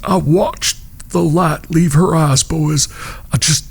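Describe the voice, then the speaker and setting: An actor playing a grieving, steady frontier outlaw leader in a western audio drama. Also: male voice, on the high side, slow pace, creaky, breathy edge, heavily acted. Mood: grief-stricken.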